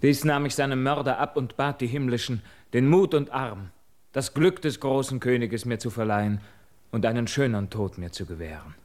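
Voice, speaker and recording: An actor playing a bold, proud young prince, declaiming heroic verse earnestly in a classical radio drama. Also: male voice, mid-range voice, moderate pace, darkish tone, lightly acted. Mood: proud, resolute.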